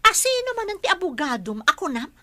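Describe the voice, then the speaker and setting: Woman acting curt villainess aunt, bright animated voice. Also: very high-pitched, quick pace, dry recording, exaggerated voice. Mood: demanding, suspicious. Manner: curt, demanding. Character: hard, demanding, suspicious villainess aunt. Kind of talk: Ilocano audio drama acting.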